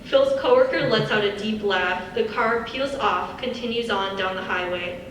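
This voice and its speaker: A woman's voice: medium pitch, moderate pace. Woman narrating a script in an echoey hall. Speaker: narrator reading stage directions. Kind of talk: scripted narration, live dramatic reading. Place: large echoey indoor hall on stage. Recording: very echoey hall, distant mic, faint noise.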